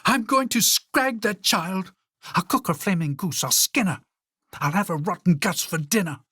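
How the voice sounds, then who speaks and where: A man voicing a spoiled, vain and vicious queen who screeches her lines in a high, loud voice. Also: moderate pace, slightly rough, no echo, clean studio sound, full character voice. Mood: furious.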